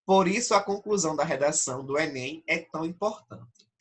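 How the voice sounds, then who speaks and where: A man's voice: fairly high pitch, brisk. A male teacher with a Brazilian Portuguese accent, nasal from allergic rhinitis, explains essay criteria step by step in an online class and keeps checking with his students.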